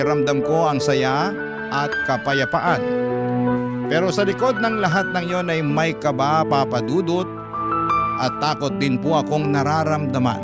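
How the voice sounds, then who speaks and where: A man with a Filipino accent giving sentimental scripted radio narration of a listener's letter. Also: on the high side. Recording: piano music under the voice. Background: loud piano music.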